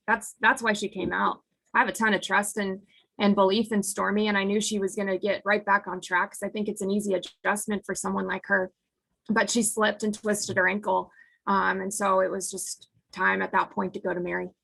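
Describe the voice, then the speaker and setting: A woman in her thirties, an American softball head coach, answering postgame press questions in an earnest way with a husky voice that is hoarse from screaming. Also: medium pitch, low-quality recording. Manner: earnest, restarts sentences often.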